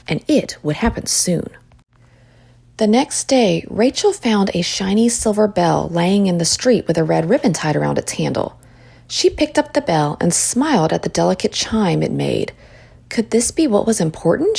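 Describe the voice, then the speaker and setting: A woman reading a children's story aloud in expressive narration. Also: lowish voice, gravelly, husky.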